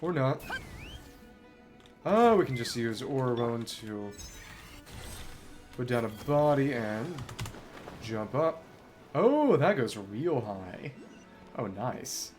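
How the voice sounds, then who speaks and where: Streamer musing aloud with lively, swinging pitch. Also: slow pace, pauses often, faint music behind. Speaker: video game streamer. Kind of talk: casual live game commentary, reading dialogue aloud.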